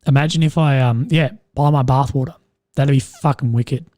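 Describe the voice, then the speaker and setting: A young man with a strained, slightly croaky voice, rambling and swearing as he chats with viewers on a live stream. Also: mid-range voice, steady tone, normal speed, dark tone, no room sound, studio quality. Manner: rambling, swears often, laughs at own jokes. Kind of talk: casual live stream chat.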